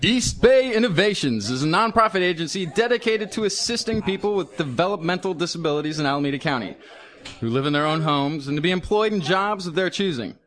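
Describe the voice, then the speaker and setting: A man's voice: sits high, fairly quick. A man reading a public service announcement on the radio. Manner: steady, read-aloud delivery. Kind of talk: reading a script.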